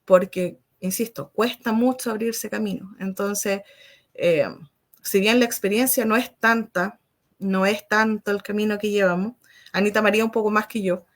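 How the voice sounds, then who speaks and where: A Chilean woman speaking as a guest writer in a live interview, explaining her views in a measured, thoughtful way. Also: medium pitch, fairly even intonation.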